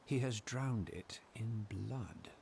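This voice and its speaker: A man playing a stoic, determined wandering hero in a dark fantasy game, grave and measured. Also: on the low side, gravelly, a little hiss or hum. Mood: grim.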